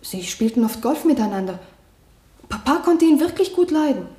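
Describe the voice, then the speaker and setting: A woman acting a timid, loyal young daughter on the witness stand in a German radio play, hesitant and soft-spoken. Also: sits high, very animated, normal speed. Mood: loyal, insistent. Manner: hesitant, soft-spoken, simple short answers. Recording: roomy 1970 radio drama studio.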